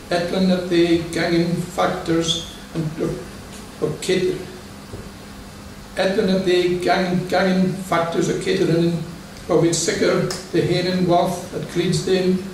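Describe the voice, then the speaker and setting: A man with a fairly high voice reads his poems aloud, measured and declamatory. He sounds distant from the microphone, and his words ring around a large, very echoey church hall. A faint hiss of room noise sits underneath. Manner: measured, declamatory reading with brief spoken asides. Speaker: poet. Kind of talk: poetry reading before an audience.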